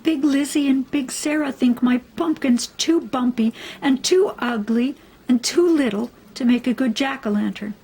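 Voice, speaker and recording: A woman's voice: sits high, normal speed, hardly any pauses, mellow, husky, voice pushed up, low-quality recording. A woman voicing a small, sweet, eager young girl in a children's storybook, earnest and childlike.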